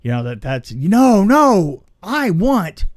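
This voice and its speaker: A man's voice: high voice, sing-song, no room sound. Guy rambling through a work story on a podcast, stop-and-start delivery, really dry sound. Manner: halting, rambling, self-interrupting delivery. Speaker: film special effects technician telling a story.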